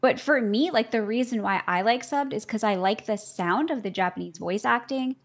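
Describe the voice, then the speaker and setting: Chatty young woman rambling about anime. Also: on the high side. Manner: chatty and rambling, heavy use of "like", quick to laugh. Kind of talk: casual chat.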